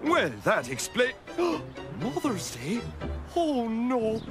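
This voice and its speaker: An actor playing a bumbling, excitable grown man in a children's cartoon, with an exaggerated delivery. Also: medium pitch, slow pace, over music. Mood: excited, flustered.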